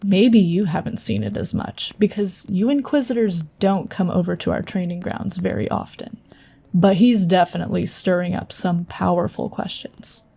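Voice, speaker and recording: A woman's voice: on the low side, moderate pace, vocal fry, a little mellow, breathy edge. A woman playing an earnest psion colleague. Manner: earnest and direct. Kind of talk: fan audio drama acting.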